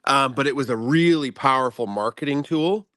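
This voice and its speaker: Guy guesting on a casual chat, mid-pitched voice, halting and self-effacing, very dry sound. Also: fairly bright tone, no room sound. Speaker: guest speaker, science teacher and content creator.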